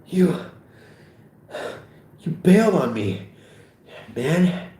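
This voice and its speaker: A man's voice: a little high, sing-song, extremely slow, dark tone, pitched above the performer's own voice, exaggerated voice. A man voicing an aggrieved, whiny teenage boy who accuses his best friend, as part of a script reading. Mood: hurt, accusing. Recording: echoey room.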